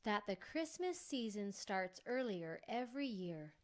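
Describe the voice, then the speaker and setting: A man voicing a gentle, worried mother bear in a storybook reading, speaking softly with sighs. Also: high-pitched, big pitch swings, unhurried, voice pushed up. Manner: soft, sighing.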